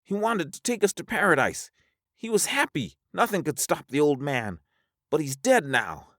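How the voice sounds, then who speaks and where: A man voicing a weary, philosophical spaceman in a science fiction audiobook, folksy and excitable as he reasons aloud. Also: on the high side, normal speed, no room sound, clean studio sound, voice pushed up. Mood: insistent. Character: high-voiced drawling spaceman, weary, resigned, philosophical castaway.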